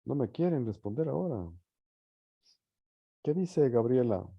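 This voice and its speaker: A male teacher gives an informal lecture in a mid-pitched voice. He hesitates as he thinks and keeps tacking short checking questions onto his points. The sound is dull and lo-fi, with little room echo. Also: animated, unhurried, gravelly, breathy edge. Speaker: university teacher leading an online or live class.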